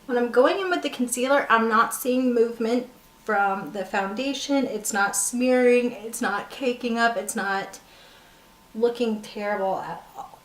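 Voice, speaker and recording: A woman's voice: fairly high pitch, unhurried. A woman in her twenties chatting through a makeup review, enthusiastic and a bit sniffly from a cold, with frequent ums. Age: 20s. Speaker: beauty vlogger. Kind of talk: casual makeup review chat. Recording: echoey room.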